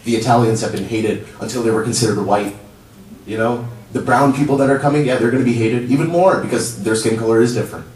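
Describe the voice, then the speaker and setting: A man's voice: mid-range voice, a little mellow. Young man pleading in an echoey chamber. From child of immigrants. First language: Urdu. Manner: halting, many restarts, rising to pleading urgency. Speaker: public commenter at a council meeting. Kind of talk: impassioned public comment. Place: council chamber. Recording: roomy hall, distant mic, faint hum.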